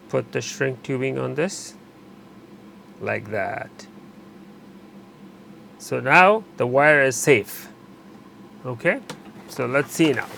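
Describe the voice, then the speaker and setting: A man narrating a hands-on repair tutorial while he works, pausing and correcting himself as he goes. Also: mid-range voice, vocal fry, a bit bright, a little hiss or hum. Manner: unscripted, pauses and self-corrects while working. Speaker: electronics repair instructor.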